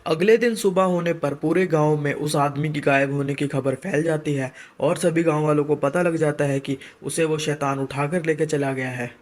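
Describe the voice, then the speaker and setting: A man with a slightly higher-than-usual voice reads as a story narrator. The delivery is scripted, dramatic storytelling. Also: steady tone, moderate pace, hardly any pauses.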